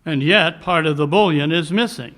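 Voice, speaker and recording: A man acts out a cool, superior male detective for a live radio play. His voice sits a little high. He speaks in formal, deliberate sentences with a dry, commanding air. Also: fairly bright tone, natural voice. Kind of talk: 1940s radio mystery acting, performed live.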